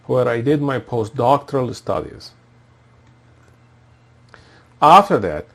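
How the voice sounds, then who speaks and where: An man in his thirties with a lively, varied pitch. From Egypt. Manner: formal, measured, reads from a prepared script. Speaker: assistant professor and course instructor. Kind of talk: scripted self-introduction video.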